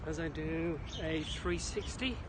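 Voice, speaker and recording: High-pitched, unhurried British man vlogging outdoors. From Isle of Man, British. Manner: chirpy showman patter, addresses viewers as ladies and gentlemen. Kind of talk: casual walking vlog to camera. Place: outdoors by a city creek. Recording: noisy outdoor city ambience.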